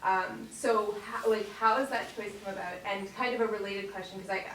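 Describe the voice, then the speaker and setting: A woman's voice: medium pitch, steady tone, normal speed, fairly bright tone. A woman in the audience asking a long, hesitant question full of fillers. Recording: distant voice in an echoey hall with hiss.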